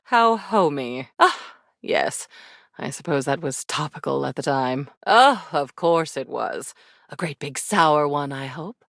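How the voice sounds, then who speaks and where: A woman's voice: on the low side, a bit bright, no echo, full character voice. A woman voicing a haughty female spirit in a video game, speaking in an arch, theatrical way with dry sarcasm and condescending endearments. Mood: wry, disdainful. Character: haughty, sardonic female attic spirit of forgotten keepsakes. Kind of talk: scripted video game character acting.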